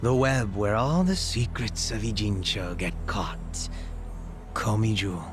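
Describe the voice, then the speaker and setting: An actor playing a sly, mocking gang boss who makes gleeful threats in a video game dub. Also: male voice, on the low side, animated, takes it slowly, over music. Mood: knowing, sly. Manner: casual profane taunting.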